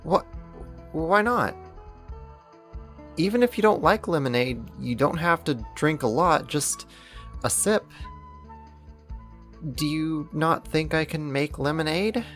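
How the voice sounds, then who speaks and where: Slow-talking possessive yandere neighbor, acted out. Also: medium pitch, expressive, fairly bright tone, faint music behind. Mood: wounded pleading. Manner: coaxing, slow, deliberate, condescending endearments. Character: possessive yandere neighbor kidnapper, sweet yet menacing. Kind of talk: ASMR roleplay, intimate scripted monologue.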